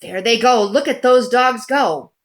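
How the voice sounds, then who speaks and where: Female storybook reader, higher-pitched, unhurried and expressive, deliberate read-aloud delivery. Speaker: children's book reader. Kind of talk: picture book read-aloud.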